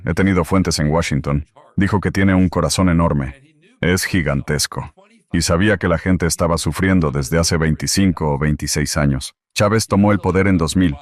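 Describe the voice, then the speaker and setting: A man's voice: low voice, moderate pace, no room sound. A man speaking as a political commentator guest, emphatic and repeating his key phrases in an interview answer.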